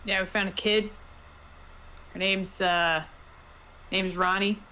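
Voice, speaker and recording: A woman talks casually as a player in a tabletop roleplaying game. Her voice sits at a middle pitch and she moves in and out of character. A faint noise runs behind her. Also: level tone, takes it slowly, sharp tone, poor audio.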